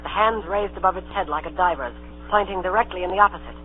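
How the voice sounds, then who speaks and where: A man's voice: high-pitched, fast talker, faint music behind, natural voice. An actor playing an earnest young boy narrating his adventure in a radio drama. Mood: earnest, dramatic. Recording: old tinny radio broadcast, hum.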